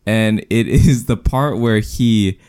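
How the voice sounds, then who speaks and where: A man with a fairly low voice co-hosts a laid-back movie review podcast. He talks in quick, animated bursts and keeps tossing in jokey asides. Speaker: podcast co-host. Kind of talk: casual film review chat.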